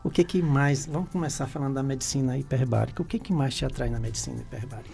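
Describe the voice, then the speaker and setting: A man's voice: mid-range voice, fast, gravelly, warm tone. A man, hosting a live radio podcast interview.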